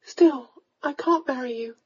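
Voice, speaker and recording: A narrator, a woman, plays a young Regency lady with a high, unsteady voice. She is proud but emotional, earnest and pleading, in a romance audiobook with slightly muffled sound. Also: animated, normal speed, warm tone. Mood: anguished, pleading.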